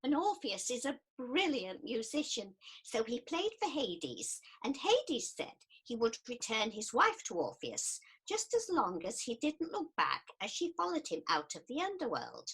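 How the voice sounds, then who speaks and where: Woman acting a prim, high, very animated counsellor. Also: measured pace, hardly any pauses, husky, heavily acted, poor audio. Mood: earnest. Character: earnest, easily offended female counsellor in ancient Greece. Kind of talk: radio play acting.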